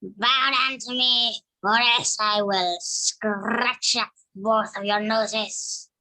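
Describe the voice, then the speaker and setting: A woman reads the part of a bossy monkey who rules a forest in a folktale play. The voice is bright and fairly high, threatening in measured, slow phrases. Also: fairly even intonation, full character voice, low-quality recording. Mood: imperious. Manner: reading aloud in measured phrases.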